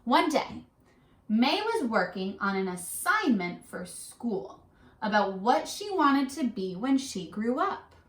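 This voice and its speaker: A woman teacher leading a read-aloud lesson for young children, in an animated, questioning, sing-song way. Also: fairly high pitch, slow. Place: classroom-style room. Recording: echoey room, distant mic.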